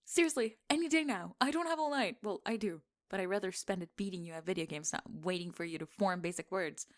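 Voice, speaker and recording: A woman acts out a young tomboy best friend in an intimate ASMR roleplay. She has a mid-range female voice and talks in a bossy, teasing way. Her halting, defensive stammer shows how flustered and secretly smitten she is. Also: medium pitch, natural voice. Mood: bossy, nervous.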